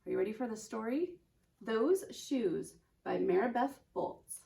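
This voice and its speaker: A woman's voice: a little high, lively intonation, unhurried, creaky, mellow. A woman teaching children vocabulary in an encouraging way, repeating words for them to say back, recorded roomy and distant with music. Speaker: teaching artist, educator.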